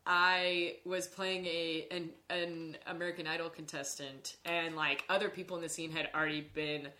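A woman's voice: lowish voice, level tone, normal speed, bright, forceful tone. A young woman chatting casually as a podcast host, speaking informally and peppering her sentences with "like". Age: young adult. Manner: informal, frequent "like" as filler. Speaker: podcast host and improv performer. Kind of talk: casual conversational chat.

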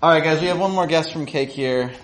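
A man's voice: a little high, brisk. Noisy, echoey room, casual male show host. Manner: slangy, laid-back bro talk. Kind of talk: casual event hosting. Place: live event in a room with an audience. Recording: roomy, very noisy live venue.

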